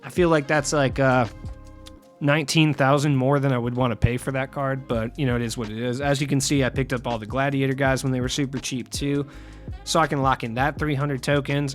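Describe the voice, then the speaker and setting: A young man with a worn, scratchy voice chats offhandedly through game trading tips, wandering off mid-thought now and then. His pitch sits in an ordinary middle range, and faint music plays quietly underneath. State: scratchy voice after a long recording session. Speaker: gaming channel host. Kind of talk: casual game market commentary.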